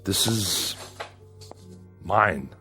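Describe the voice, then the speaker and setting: A lonely, guarded man in exile, with a lowish voice. He talks gruffly and wryly, in radio drama style, over faint music. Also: lively intonation, extremely slow, heavily acted. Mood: wary. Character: lonely, prickly, sardonic exiled man on an asteroid. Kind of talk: science fiction audio drama acting.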